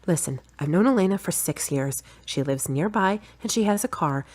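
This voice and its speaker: A woman playing a frank, slightly bossy and stubborn girlfriend in an audio drama, brisk and matter-of-fact. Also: fairly low pitch, lively intonation, darkish tone. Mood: exasperated, defensive. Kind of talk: acted audio drama dialogue.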